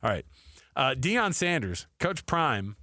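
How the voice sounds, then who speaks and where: A man's voice: mid-range voice, very animated, takes it slowly, sharp tone, no room sound. American man hosting sports radio, close and dry. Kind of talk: casual sports talk radio banter. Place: radio studio. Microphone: close studio microphone.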